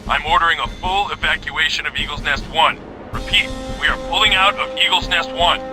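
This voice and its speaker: A man plays a stern general in a war video game. His voice sits a little high for a man, and he issues orders with clipped, formal authority. Music plays prominently underneath. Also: brisk, natural voice. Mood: commanding. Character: stern, commanding male army general. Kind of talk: military mission briefing.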